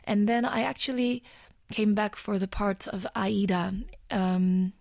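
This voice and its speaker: A woman from the Netherlands in her thirties, a musical actor talking as a guest in a radio interview, thoughtful and pausing often. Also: medium pitch, creaky, a little mellow, no echo, clean studio sound. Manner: thoughtful, with many pauses and "um"s. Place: radio studio. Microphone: studio microphone on a stand.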